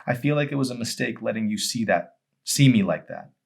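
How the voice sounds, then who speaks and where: An insecure, wounded teenage girl from a storybook, acted out by a man reading aloud. The voice is lifted in pitch, pleading and accusing, with emphatic stress. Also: expressive, a little fast, vocal fry, slightly rough. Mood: hurt, accusing.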